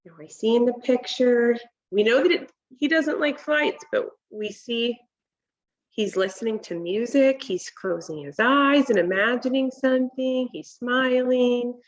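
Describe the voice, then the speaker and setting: A woman in her thirties reads a children's picture book at a slow, deliberate pace. Her voice sits a bit high, and she speaks like an instructor guiding young listeners. The sound is a little muffled, as if from a device microphone in a home kitchen. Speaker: read-aloud presenter for children. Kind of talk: children's picture book read-aloud with commentary.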